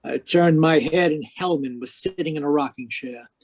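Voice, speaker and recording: A cynical, hard-boiled private detective, played by a man in a somewhat high voice. He delivers wisecracks deadpan, in the manner of a 1940s radio noir drama. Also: normal speed, breathy edge. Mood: wry narration.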